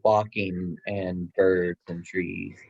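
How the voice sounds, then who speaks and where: A man's voice: sits low, flat delivery, very slow, creaky, breathy edge, highs cut off. A man chatting casually and enthusiastically in a book discussion. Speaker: book club guest, bookstore worker.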